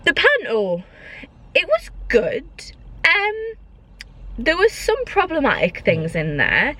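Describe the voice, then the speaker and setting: A woman's voice: sits high, wildly swooping pitch, takes it slowly, a bit bright. A woman vlogging in a casual, opinionated way, repeating points for emphasis. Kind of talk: casual vlog chat. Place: inside a car.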